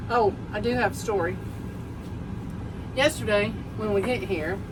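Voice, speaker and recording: A woman's voice: medium pitch, measured pace, background noise. Older Southern woman, chatty and distant. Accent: Southern US, Tennessee. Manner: chatty, rambling with many ums. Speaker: vlog co-host. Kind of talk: casual travel vlog chat.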